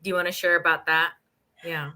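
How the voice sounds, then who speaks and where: A woman's voice: lowish voice, level tone, fairly quick, bright, forceful tone. A woman hosting an interview, enthusiastic and full of exclamations. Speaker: interview host. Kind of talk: conversational interview.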